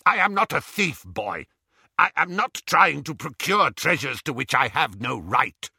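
A man's voice: low voice, very animated, creaky, bright, forceful tone, breathy edge, no echo, full character voice. Guy doing a proud grumpy goblin for an audiobook, formal and firm, dry close sound. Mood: angry, indignant. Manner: firm, deliberate, formal speech. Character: stern, proud, easily angered male goblin. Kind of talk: audiobook character voice.

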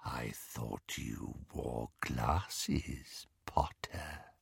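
A man voicing a menacing male werewolf who speaks slowly, quietly and with a rasp, often near a whisper. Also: rumbling low, dry recording, studio quality, voice pushed down, exaggerated voice. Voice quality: rasping, growling. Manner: slow, quiet and breathy.

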